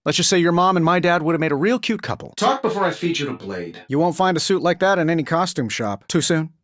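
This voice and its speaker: A man's voice: a little high, expressive, quick pace, fairly bright tone, no room sound. An actor playing a cocky genius armored superhero in a video game, sardonic and glib with his quips. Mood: wry, joking. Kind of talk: video game voice acting, dramatic banter.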